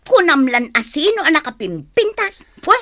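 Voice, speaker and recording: Radio comedy casting: vain young woman, extremely high, bright, whiny, boastful, always bickering. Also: sing-song, normal speed. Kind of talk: Ilocano radio comedy drama acting.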